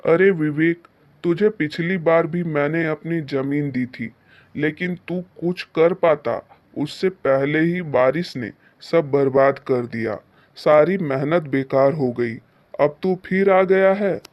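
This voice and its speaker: A man's voice: a little high, takes it slowly, lo-fi sound. A man voicing a stern, grudging rich village landowner who scolds and dismisses in a moral-story cartoon. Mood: doubtful, scolding. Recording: music behind the voice. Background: background music.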